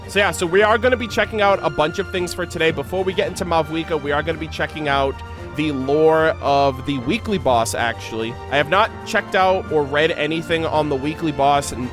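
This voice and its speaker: Higher-voiced male streamer over music. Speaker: content creator hosting a game lore reaction. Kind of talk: casual streamer commentary with read-aloud game lore. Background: background music.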